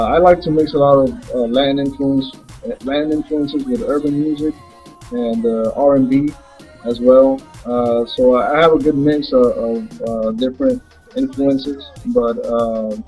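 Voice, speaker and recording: A young man from the Dominican Republic talks about his music production, pausing often on "uh" as he gathers his thoughts. His voice is dark and a little husky. Faint music sits under the talk. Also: mid-range voice. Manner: halting, many "uh" fillers. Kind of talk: casual interview answers.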